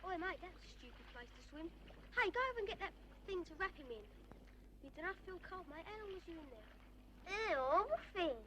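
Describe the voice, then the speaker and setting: A child actor playing an excitable kid calling bluntly to mates in a 1970s public information film. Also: very high-pitched, a little fast. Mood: urgent. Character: excitable working-class children finding someone in water. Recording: noisy, distant 1970s film soundtrack.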